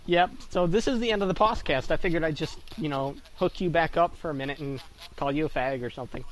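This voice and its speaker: A man's voice: on the high side, quick pace, a little hiss or hum, low-quality recording. A man hosting a podcast in casual banter, crude and teasing. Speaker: podcast host.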